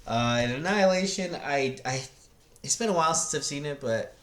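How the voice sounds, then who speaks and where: A man in his thirties hosting a casual, rambling review in a halting, searching way, with laid-back slangy enthusiasm. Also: on the high side, lively intonation, a bit bright, a little hiss or hum. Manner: hesitant, halting, searching for words. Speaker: video host reviewing films. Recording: roomy, echoey sound.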